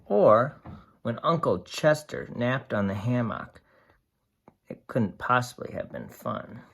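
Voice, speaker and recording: A storyteller reading a picture book aloud to young children in a deliberate, expressive way, pausing to explain simply. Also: medium pitch, gravelly. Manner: slow, deliberate, explains and asks simple questions.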